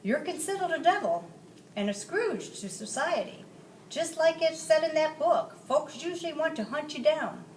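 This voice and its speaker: Woman voicing an earnest young storybook boy, slightly high, echoey. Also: lively intonation, normal speed, darkish tone. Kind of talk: children's storybook read-aloud. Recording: roomy, distant mic, faint noise.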